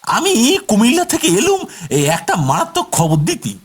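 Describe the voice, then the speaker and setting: A man's voice: very high-pitched, huge pitch swings, brisk, breathy edge, full character voice. A man voicing a mysterious, unsettling van puller, sly and folksy, for a horror cartoon. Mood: ominous, teasing. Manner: sly, cackling, evasive, folksy. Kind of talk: horror cartoon character acting.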